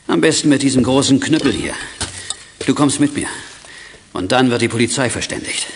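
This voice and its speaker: A man's voice: medium pitch, lively intonation, brisk, slight background noise, lightly acted. A middle-aged man playing a fatherly leader of young detectives, giving orders and reassurance in a children's radio play. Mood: stern, satisfied.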